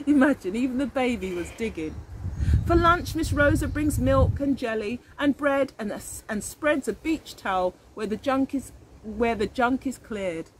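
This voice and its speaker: Animated woman teacher reading a storybook. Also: on the high side, rough recording. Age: middle-aged. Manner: animated, addressing children with questions. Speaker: early years teacher. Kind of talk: expressive read-aloud of a children's picture book. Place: outdoors in a leafy garden. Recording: faint outdoor background noise.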